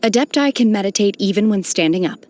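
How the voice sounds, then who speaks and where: An actress playing a solemn, aloof young woman trained by immortals in a video game, speaking in a formal, measured, restrained way with a touch of melancholy. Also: medium pitch, expressive, a little mellow, close to an everyday voice. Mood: serene, instructive. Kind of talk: scripted video game character voice lines.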